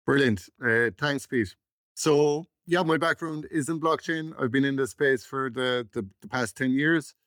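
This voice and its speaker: A man's voice: medium pitch, measured pace, a bit bright, no echo, studio quality. A man, a startup chief executive explaining his background conversationally as an interview guest.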